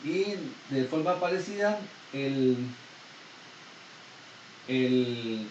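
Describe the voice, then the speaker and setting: A man's voice: mid-range voice, lively intonation, extremely slow, a little mellow. A man giving a university math lecture, explaining step by step and asking the students questions. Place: classroom. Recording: echoey room, distant dull mic, faint noise.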